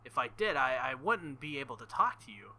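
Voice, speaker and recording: A man hosting a visual novel playthrough, reading lines aloud and tossing in animated, sweary, joking commentary. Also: mid-range voice, a little fast. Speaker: video game playthrough host.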